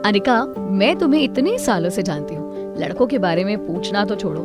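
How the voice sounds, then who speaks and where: A woman's voice: mid-range voice, big pitch swings, brisk, natural voice. A woman playing a playful, teasing close friend in an audio drama, familiar and mocking. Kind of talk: Hindi audio drama acting. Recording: music bed under voice. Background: background music.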